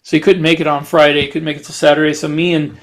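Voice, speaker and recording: A man co-hosting a podcast in casual chat, talking haltingly and often restarting his phrases. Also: a little high, flat delivery. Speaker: podcast co-host and fiction writer.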